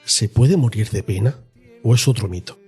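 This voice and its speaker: Male podcast interviewer. Very husky, dark timbre, conversational delivery. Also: lowish voice, normal speed, faint music behind.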